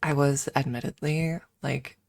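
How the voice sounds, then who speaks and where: A woman streamer chatting casually in a soft, hesitant ASMR style with playful self-deprecation, close to the microphone. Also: a bass voice, fairly even intonation, measured pace, creaky, dry recording. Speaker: ASMR livestreamer. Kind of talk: ASMR, casual rambling chat.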